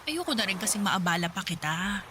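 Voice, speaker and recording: A woman's voice: medium pitch, sing-song, a little fast, background noise, close to an everyday voice. An actress playing an evasive, reluctant older girlfriend in a radio drama. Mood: evasive, apologetic.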